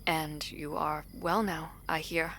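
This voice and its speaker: A woman's voice: low voice, steady tone, takes it slowly, fairly bright tone, a little hiss or hum, heavily acted. A woman playing a guarded, protective royal in an audio drama, with a grave, stately and formal delivery. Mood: courteous, reserved. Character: guarded, regal imperial sibling, protective of a younger brother.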